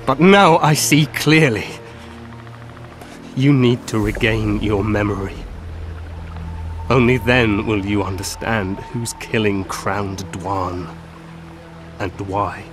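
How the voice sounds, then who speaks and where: An actor playing a mortally wounded elf seer in a video game, haunted and mournful, speaking weakly and haltingly. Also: male voice, fairly low pitch, over music. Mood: anguished. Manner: slow, halting, labored. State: weak from fatal wounds, as acted.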